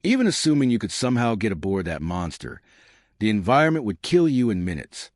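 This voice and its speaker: A man's voice: on the low side, big pitch swings, brisk, a little mellow, no echo, studio quality. A man voicing a skeptical, cautioning woman medical officer in a sci-fi story. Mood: skeptical warning. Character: skeptical female starship chief medical officer.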